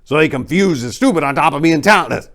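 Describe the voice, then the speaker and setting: A fiery old man of the American South, raspy and raised in pitch. He rants and preaches with loud force, an aged bluesman from a crime story. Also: animated, fast. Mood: indignant, riled. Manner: ranting, scripture-quoting, forceful.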